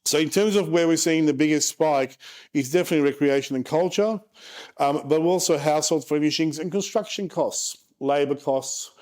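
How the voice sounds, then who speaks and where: A man's voice: a little high, moderate pace, darkish tone. A man in his forties with a frank manner and joking asides, casually presenting charts as a property investment presenter. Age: 40s.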